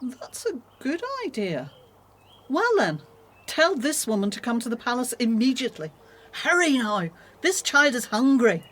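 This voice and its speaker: An actress playing a willful, commanding Egyptian princess in a radio drama, haughty but with a tender streak. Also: female voice, a little high, big pitch swings, measured pace, fairly bright tone, exaggerated voice. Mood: resolute. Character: imperious, willful Egyptian princess, softening to babies.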